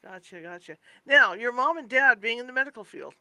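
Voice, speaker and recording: Very high, bright-voiced male interviewer. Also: expressive, fairly quick, dry recording. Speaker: interviewer, host. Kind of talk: casual reactive interviewing.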